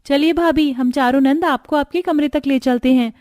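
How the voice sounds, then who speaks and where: Woman voicing a high, gushing young sister-in-law. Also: moderate pace, darkish tone, dry recording, close to an everyday voice. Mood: doting. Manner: gushing, exclaiming, eager. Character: excited, adoring young sisters-in-law, women, doting and bubbly. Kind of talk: cartoon family story acting.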